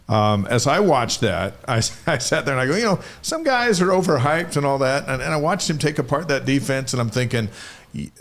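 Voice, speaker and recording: A man's voice: mid-range voice, big pitch swings, fairly quick, fairly bright tone. A man hosting a live sports talk show in a casual, conversational way.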